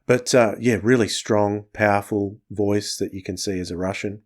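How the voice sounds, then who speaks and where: A man's voice: lowish voice, steady tone, moderate pace. A man hosting a podcast, chatting casually as he reviews. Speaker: podcast host.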